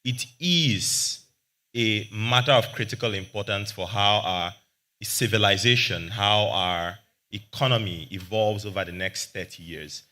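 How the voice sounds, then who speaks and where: Emphatic young man, bright tone. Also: lowish voice, unhurried. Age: young adult. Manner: confident, emphatic, argumentative, says he has a loud voice. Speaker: young entrepreneur panelist. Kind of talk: panel discussion remarks. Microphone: handheld microphone.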